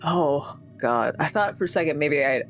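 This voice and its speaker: Woman, lowish voice, very lively intonation, exclamatory, casual tabletop player chat, faint music. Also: normal speed. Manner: animated, exclamatory. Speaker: player in a tabletop roleplaying game. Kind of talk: casual playful game chat.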